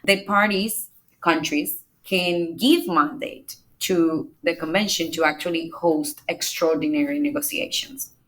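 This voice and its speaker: Woman, lowish voice, measured explainer, podcast guest. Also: lively intonation, fairly bright tone, poor audio. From Dominican Republic. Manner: measured, explains step by step with small restarts. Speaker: climate negotiator, podcast guest. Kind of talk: explanatory interview answers.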